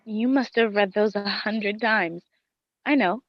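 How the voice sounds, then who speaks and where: An actress playing a devoted, worried wife in a gothic radio play, speaking in formal, literary phrasing. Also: female voice, medium pitch, unhurried, no room sound, muffled recording. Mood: tender, coaxing. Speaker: actress in an audio drama. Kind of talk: dramatic first-person radio play narration and dialogue.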